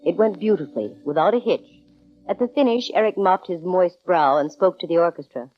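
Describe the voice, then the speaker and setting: A breezy, hard-boiled young American female detective, with a low voice. She is wry and brisk in a 1940s radio drama manner. The sound is dry and dulled, like an old broadcast. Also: expressive, no room sound, faint music behind. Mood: wry narrating. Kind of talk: 1940s radio acting, first-person detective narration. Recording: dull, band-limited old radio recording.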